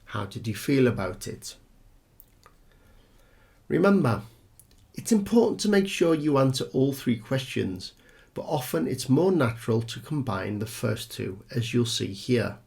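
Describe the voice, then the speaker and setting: A man acting as an exam tutor, giving scripted instructions in a clear, instructional manner. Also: mid-range voice, very animated, a little slow, a little mellow.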